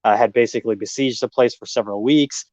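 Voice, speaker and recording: An American man as a guest, explaining at length in a measured way with frequent pauses. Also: mid-range voice, darkish tone, no room sound. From United States. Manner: measured, explanatory, many uh fillers. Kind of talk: casual interview talk.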